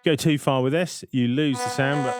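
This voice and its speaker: A man narrating a software tutorial in an informal, explanatory way, thinking aloud as he goes. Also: mid-range voice, steady tone, normal speed, over loud music. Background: synth and vocoder demo sounds.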